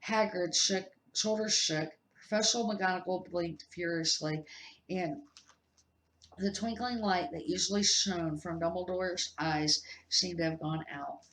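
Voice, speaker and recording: An older woman narrates a children's book in a fairly low voice. Her reading is amateur and a little halting. The recording is roomy, with clear echo. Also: fairly even intonation, a bit bright, a little hiss or hum. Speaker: storybook reader. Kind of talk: read-aloud narration for children. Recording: echoey amateur room recording.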